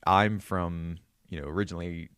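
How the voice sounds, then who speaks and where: Pennsylvania guy hosting a podcast, low voice, friendly n chatty, dry close mic. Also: fairly even intonation, moderate pace, fairly bright tone, clear, resonant tone, no echo. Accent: western Pennsylvania. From southwestern Pennsylvania. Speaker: podcast host. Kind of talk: casual interview chat.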